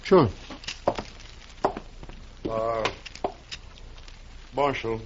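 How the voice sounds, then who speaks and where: A man's voice: fairly low pitch, extremely slow. A man playing an anxious, eager-to-please railroad man in a radio western. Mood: anxious, deferential. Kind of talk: 1950s radio western drama acting. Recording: muffled, noisy 1950s radio recording.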